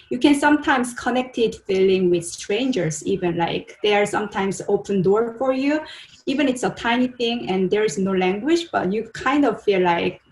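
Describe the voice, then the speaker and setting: A woman's voice: mid-range voice, roomy sound, low-quality recording. A filmmaker woman from Korea, speaking English carefully and earnestly in simple phrases. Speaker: short filmmaker.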